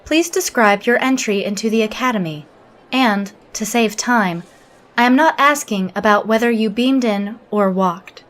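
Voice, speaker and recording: Precise, deadpan female alien officer, higher-voiced played by a woman. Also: a little slow. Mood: cool, probing. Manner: formal, clipped, exact, deadpan. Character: precise, literal, humorless alien female Starfleet officer interviewer. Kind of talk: scripted audio drama log and interview.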